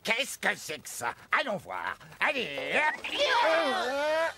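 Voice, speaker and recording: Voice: mid-range voice, wildly swooping pitch, very slow, exaggerated voice. An actor voicing a possessive, pompous fairy-tale king and stubborn father in a theatrical way for a children's cartoon. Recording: loud music behind the voice.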